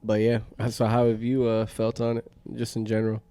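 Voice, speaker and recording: A man's voice: fairly low pitch, completely flat delivery, a little fast, mellow, dry recording. A young man co-hosting a podcast, asking questions in a laid-back, profane way. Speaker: podcast co-host. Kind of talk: casual chat.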